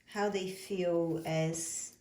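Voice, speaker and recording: A woman's voice: on the low side, steady tone, extremely slow, darkish tone, roomy sound, a little hiss or hum. A woman from Brazil with a Brazilian Portuguese accent, speaking English as a second language and gushing as she unboxes her own oracle deck. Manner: gushing, repeats words of praise. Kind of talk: product unboxing vlog.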